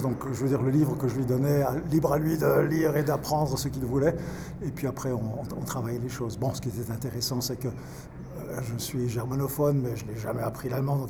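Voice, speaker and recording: Halting man in his fifties, noisy recording. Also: medium pitch. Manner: halting, restarts words often. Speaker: volunteer tutor and pastor. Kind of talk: interview answers.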